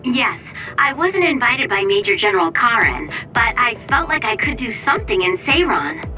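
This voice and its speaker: Bright young comms operator, high animated voice, slightly distant sound. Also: female voice, normal speed, faint music behind. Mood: chipper. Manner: chipper, briefing tone. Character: cheerful young female platoon operator.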